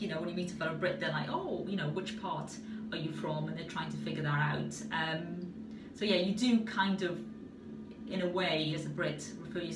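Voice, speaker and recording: A young woman with a South Wales Valleys accent, chatting casually with lots of fillers and restarts. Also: low-pitched. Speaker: video answerer. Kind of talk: casual spoken answer. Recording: roomy echo, far from mic, loud noise.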